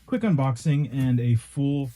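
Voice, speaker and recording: Excited man with a dark, mellow voice. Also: medium pitch, measured pace. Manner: excited, chatty, addresses viewers as guys. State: stuffy, recovering from illness. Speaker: toy reviewer. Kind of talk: casual unboxing review.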